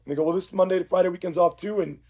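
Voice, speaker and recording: A man with a fairly high, raspy voice, hoarse from work, speaks as a police academy instructor on a podcast. He tells stories in a casual, conversational way. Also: steady tone, very fast, dark tone, lo-fi sound. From Uruguay.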